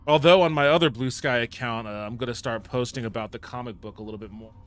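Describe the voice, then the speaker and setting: An upbeat male streamer at a middle pitch, talking casually while he plays. He greets and thanks viewers by name and swears casually. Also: quick pace, a bit bright. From Japan. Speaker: livestreamer. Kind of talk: casual live-stream gameplay chat.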